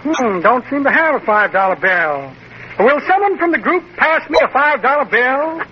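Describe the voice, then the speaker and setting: A man's voice: extremely high pitch, animated, moderate pace, husky, exaggerated voice. An actor playing a pompous, bumbling male con-man magician in a 1940s radio comedy, talking grandly and coughing. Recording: dull, hissy old radio recording.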